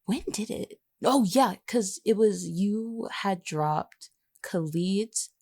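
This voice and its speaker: A woman's voice: mid-range voice, expressive, slow, dark tone, slightly rough, no room sound, studio quality. A woman chatting casually as a podcast co-host, rambling and teasing as she goes. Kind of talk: casual behind-the-scenes podcast chat.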